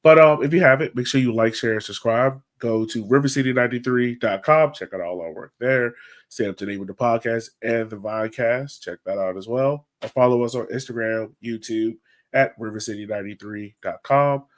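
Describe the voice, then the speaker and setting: A man's voice: medium pitch, fairly quick. A man hosting a sports podcast, talking in an informal, chatty, conversational way. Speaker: podcast host. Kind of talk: casual sports podcast chat.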